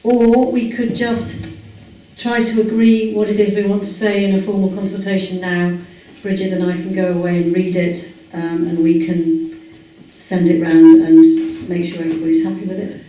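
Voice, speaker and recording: A woman chairs a public meeting, pausing often with fillers and putting questions to the room. Her voice is mellow and dark. She is picked up from across a large, echoey hall. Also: on the low side, level tone, slight background noise. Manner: hesitant, many fillers, asks the room questions. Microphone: far camera microphone. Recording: echoey hall recorded from far off.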